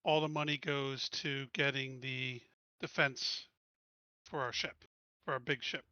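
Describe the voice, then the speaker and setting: Bright-voiced player organizing the group. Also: male voice, mid-range voice, little pitch movement, a little slow, clean tone, dry recording, lo-fi sound. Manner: organizing the group, hesitant restarts. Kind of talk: casual tabletop game chat.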